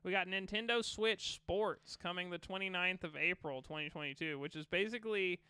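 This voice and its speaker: A man with a bright, crisp voice talks loosely through a list of upcoming releases. He swears now and then and stays laid-back. The sound is dry and close to the mic. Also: sits high, moderate pace, dry recording. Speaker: podcast host. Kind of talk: casual chat.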